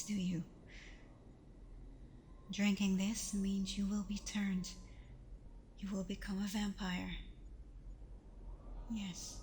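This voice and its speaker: Vampire girlfriend, ASMR roleplay, female performer: hushed, breathy, tender but scolding, very unhurried, with audible background noise. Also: mid-range voice, natural voice. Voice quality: soft, breathy half-whisper. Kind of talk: ASMR roleplay, close intimate acting.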